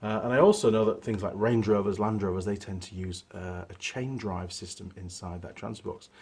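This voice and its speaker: A fairly low-voiced New man, hoarse and husky from a cold, talks through a teardown in his workshop. His delivery is chatty and relaxed, drifting between explanation and asides with plenty of "uh" and "um". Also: fairly quick. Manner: chatty, relaxed, rambling with fillers. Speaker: mechanic hosting his own channel.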